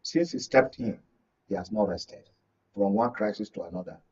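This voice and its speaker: A man with a Nigerian accent speaking as a media analyst in a live political discussion, phrasing his points deliberately and with emphasis. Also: on the low side, a little mellow, breathy edge, highs cut off. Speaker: media analyst, guest pundit.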